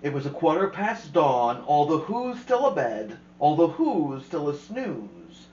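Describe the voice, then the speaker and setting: Woman reading a kids rhyming book out loud, bouncy expressive, bit muffled and roomy. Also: deep voice, slow pace, slight background noise. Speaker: storyteller host. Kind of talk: reading a children's picture book aloud.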